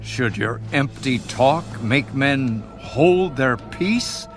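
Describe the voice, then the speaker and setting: An actor playing a stern, self-righteous man rebuking his friend in a deliberate, rhetorical way in a dramatised scripture reading. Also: medium pitch, animated, soft background music. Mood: stern rebuke.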